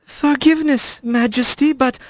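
A meek young male rat in a storybook, voiced by a man. The pitch is very high, and the delivery is hesitant, puzzled and pleading. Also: fairly even intonation, unhurried, dry recording. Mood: timid pleading.

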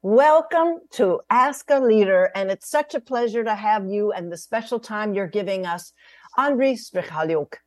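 A woman's voice: mid-range voice, animated, moderate pace, no room sound. Woman hosting a radio interview. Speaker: host. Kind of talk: formal interview introduction. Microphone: video call.

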